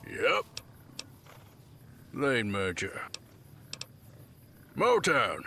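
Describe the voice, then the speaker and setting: A man playing a blunt, bored biker raider turned bodyguard in a video game, curt and deadpan. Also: medium pitch, extremely slow, vocal fry, a little hiss or hum, exaggerated voice. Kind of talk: video game dialogue acting.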